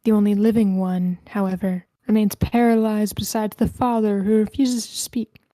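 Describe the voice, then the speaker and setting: A woman's voice: mid-range voice, level tone, moderate pace, warm tone, no room sound, close to an everyday voice. A woman playing a tour guide in her late twenties who tells eerie local legends at a screenplay table read. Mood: eerie storytelling.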